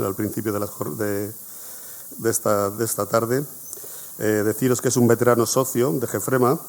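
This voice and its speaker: A man hosts a history conference without a script. His voice sits a bit low, and he often circles back, repeating words and adding fillers as he thanks people and introduces guests. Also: a little mellow. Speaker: conference coordinator and host. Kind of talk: spontaneous presentation to an audience.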